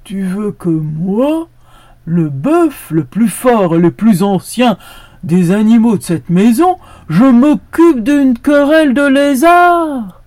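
A storyteller voicing a strong old ox who scoffs loudly and threatens with his horns. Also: level tone, creaky. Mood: boastful. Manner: lowing, drawn-out and boastful.

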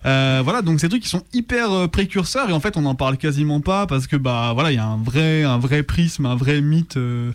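A man's voice: a little high, expressive, brisk, few pauses. A young man chatting casually as a radio co-host, enthusiastic, slangy and rambling. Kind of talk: casual unscripted radio commentary. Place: radio studio. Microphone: studio microphone.

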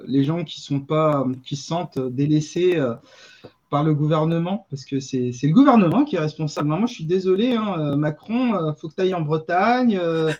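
A man's voice: fairly high pitch, darkish tone, lo-fi sound. A man from Lyon, a native Arabic speaker, talking bluntly as a panel guest, hesitating often. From Lyon, France. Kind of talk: casual panel discussion.

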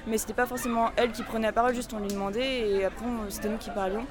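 A woman's voice: on the high side, fairly even intonation, racing, crowd noise. A teenage girl, a student, telling about her stay in a chatty way with fillers, on a ferry deck. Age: teens.

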